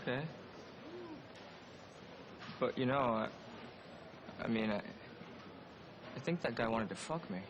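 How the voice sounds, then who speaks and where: An actor playing a timid, awkward young prison inmate in a cartoon film, hesitant and stammering. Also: male voice, mid-range voice, expressive, slow pace, pauses often, highs cut off, background noise. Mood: nervous, grateful.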